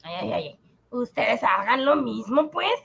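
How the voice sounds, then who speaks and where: A woman's voice: fairly high pitch, very animated, a little slow, vocal fry, a bit bright, slightly rough, dull audio, full character voice. A woman playing a smug, dismissive government minister in a radio drama, drawling with exasperated condescension. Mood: condescending, exasperated.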